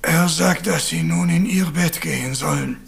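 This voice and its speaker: Shrewd, courteous detective, higher-pitched. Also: male voice, wildly swooping pitch, creaky, full character voice. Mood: dry, gently reproachful. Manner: measured, sprinkles French phrases. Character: courteous, shrewd Belgian male detective, middle-aged. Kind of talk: German crime radio play acting.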